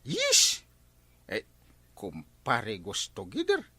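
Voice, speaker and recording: Man playing animated Ilocano village captain, mischievous. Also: mid-range voice, drawn-out words, slightly rough, no room sound, heavily acted. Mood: jolly, flirtatious. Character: village captain, mischievous pleasure-seeking comic husband. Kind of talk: comedy radio-style drama acting.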